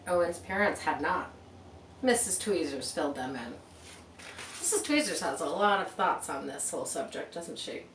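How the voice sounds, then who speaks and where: A woman's voice: deep voice, very animated, normal speed, fairly bright tone. A woman reading a picture book aloud to children, expressively, with chatty asides and rhetorical questions. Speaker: children's storybook reader. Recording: roomy, distant mic with faint background noise.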